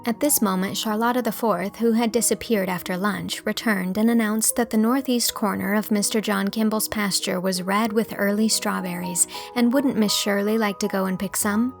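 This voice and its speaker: Woman narrating a storybook, slightly creaky voice. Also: medium pitch, fairly even intonation, normal speed, few pauses, soft background music. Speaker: audiobook narrator.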